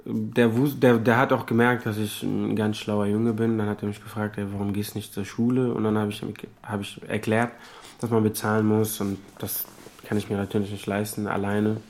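Low, even-voiced man. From Africa. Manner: halting, repeats phrases. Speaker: asylum seeker retelling stories. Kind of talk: unscripted personal storytelling.

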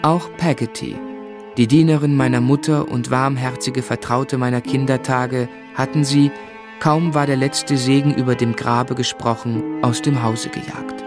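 First-person narrator for literary radio drama, male actor: medium pitch, measured, reflective, clearly audible music underneath. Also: steady tone, lightly acted. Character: grown man recalling his lonely, miserable boyhood.

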